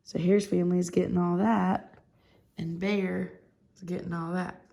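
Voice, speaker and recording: A woman's voice: fairly low pitch, flat delivery, normal speed, creaky, dark tone. A woman narrating a children's storybook aloud, phrasing things simply and guiding young listeners with asides. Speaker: storybook reader.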